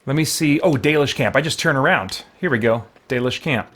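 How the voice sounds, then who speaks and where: Guy streaming a game, medium pitch, chatty and informal, kinda rambling and thinking out loud. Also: fairly quick. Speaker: live streamer, gaming channel host. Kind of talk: casual live game stream chat and recap.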